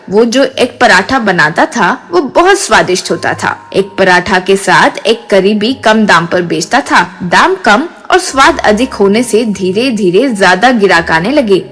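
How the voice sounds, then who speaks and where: A woman's voice: medium pitch, fairly bright tone. Woman narrating a story over music. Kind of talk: scripted moral-story narration.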